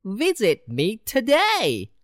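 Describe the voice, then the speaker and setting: A woman voicing a boastful, worldly city mouse in a children's storybook reading, with a slow, bouncy delivery. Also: wildly swooping pitch, no room sound, clean studio sound, full character voice. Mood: eager. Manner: slow, bouncy storybook voice with big pitch swings.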